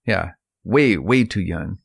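Lowish-voiced male podcast host. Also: expressive, slow, gravelly, husky. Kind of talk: casual chat, steering the conversation.

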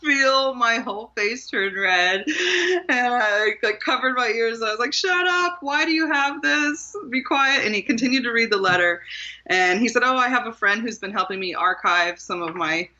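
A woman from Maryland, a guest author, telling stories in an animated way and laughing often. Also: a little high, normal speed. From Maryland, USA. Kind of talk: conversational interview. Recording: muffled lo-fi sound.

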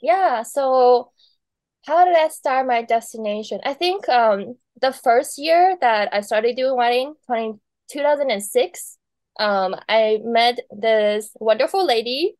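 A woman's voice: a little high, expressive, measured pace, a little mellow, dry recording. A woman from Taiwan, a wedding planner, chatting casually as an interview guest, laughing often between thoughts.

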